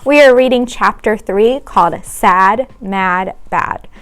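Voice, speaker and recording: A woman reads a children's book aloud like a teacher at storytime. Her voice sits at a middle pitch, and her delivery is expressive. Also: slow. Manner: expressive, acts out dialogue.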